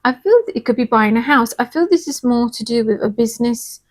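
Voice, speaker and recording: Woman card reader, a little hoarse, pitched a touch high, gentle and chatty with listeners. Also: brisk. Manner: soft, chatty, reassuring, checks in with "okay?". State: slightly hoarse from singing. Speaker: tarot and angel card reader. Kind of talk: casual spiritual card reading.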